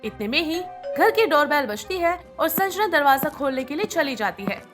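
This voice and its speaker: A woman's voice: high-pitched, very animated, normal speed, music in the background. A woman reading scripted narration for a moral bedtime story as its storyteller.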